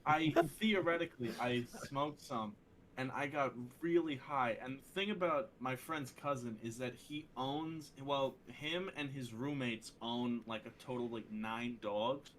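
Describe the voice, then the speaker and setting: Male, mid-range voice, halting casual storyteller, faint noise behind him. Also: medium pitch, a bit bright, poor audio.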